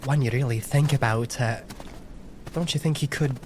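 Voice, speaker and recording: An actor playing a doubting young knight in an audio drama, hesitant and searching. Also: male voice, mid-range voice, moderate pace, a little hiss or hum, natural voice. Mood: uneasy. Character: troubled, doubting young knight confiding in his brother.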